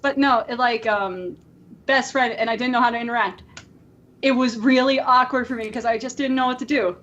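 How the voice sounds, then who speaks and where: High-voiced woman chatting as podcast host. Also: fairly even intonation, fairly quick, fairly bright tone, muffled recording. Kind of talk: casual chat.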